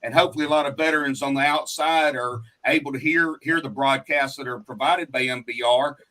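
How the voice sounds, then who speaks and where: A man's voice: mid-range voice, steady tone, fairly quick, sharp tone, low-quality recording. A man hosting a faith-based talk show in a folksy, talkative, rambling and earnest way. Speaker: radio show host.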